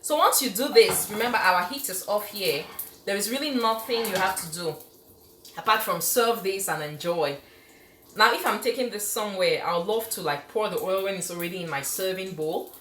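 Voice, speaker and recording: A woman's voice: mid-range voice, moderate pace, a bit bright, reverberant, a little hiss or hum. A woman from Cameroon hosting a live cooking stream in her home kitchen, chatty and enthusiastic, laughing often as she reads out viewer comments. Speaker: cooking show host.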